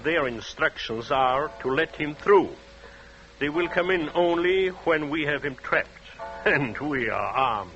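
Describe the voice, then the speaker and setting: An actor playing a calm, confident police officer, courteous and deliberate, in 1940s radio suspense. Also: male voice, on the high side. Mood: assured. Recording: dull vintage radio recording, music behind.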